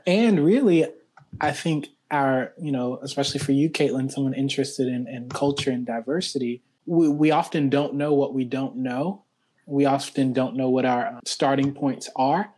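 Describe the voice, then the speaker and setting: Man, podcast host, measured interviewer asking probing questions; mid-pitched voice. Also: darkish tone.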